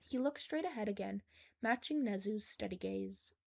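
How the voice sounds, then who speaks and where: A woman's voice: mid-range voice, animated, normal speed, creaky. A woman reading fan fiction aloud as a scripted story narration.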